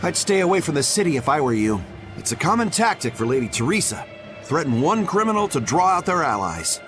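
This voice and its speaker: A man's voice: fairly high pitch, very animated, fairly quick, over music. A man voicing a knowing informant in a video game, cautionary in his delivery. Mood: informative. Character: knowing male informant giving cautious warnings.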